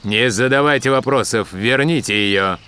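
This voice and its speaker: A man's voice: mid-range voice, normal speed, sharp tone, a little hiss or hum, lightly acted. An actor dubbing a male dog musketeer posing as a haughty count in a cartoon. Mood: commanding. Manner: commanding and haughty. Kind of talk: cartoon dub acting.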